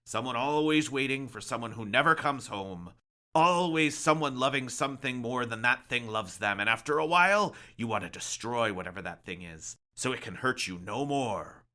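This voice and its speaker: A man voicing a grave, quiet, philosophical older lighthouse keeper in a storybook reading. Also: medium pitch, animated, moderate pace, voice pushed up. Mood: weary, philosophical.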